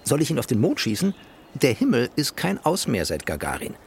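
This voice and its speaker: A man's voice: mid-range voice, huge pitch swings, a little fast, gravelly, slight background noise. An actor playing an embattled, stubborn construction boss in a radio play, emphatic and ironic in his rhetoric. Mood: defiant. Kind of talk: stylised radio play dialogue.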